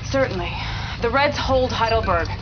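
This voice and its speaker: An actress playing a tough, self-assured commando with a breezy manner in a game cutscene. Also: female voice, fairly high pitch, lively intonation, moderate pace, close to an everyday voice. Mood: breezy, cocky. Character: tough, confident American female commando. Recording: muffled with loud background noise.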